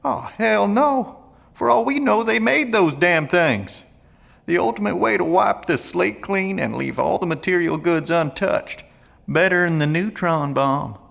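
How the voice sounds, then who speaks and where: An actor playing a blunt, friendly survivalist in an audio drama, with a folksy, gravelly drawl. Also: male voice, high voice, expressive, measured pace, fairly bright tone, exaggerated voice. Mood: blunt, curious. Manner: folksy, colloquial, plain-spoken. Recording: long echoey reverb of an empty hall.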